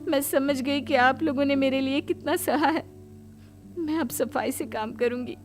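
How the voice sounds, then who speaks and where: A woman's voice: high voice, fairly even intonation, normal speed, over music, voice pushed up, lightly acted. A woman voicing a defensive, pleading young wife and mother in a comic family story. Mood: tearful apology. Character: defensive, tearful young daughter-in-law and mother in family comedy.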